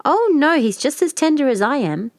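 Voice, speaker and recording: A woman's voice: high voice, very animated, moderate pace, no room sound, clean studio sound, voice pushed up. A woman voicing the littlest billy goat in a storybook, young and timid, with a small, polite, pleading voice. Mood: eager persuasion.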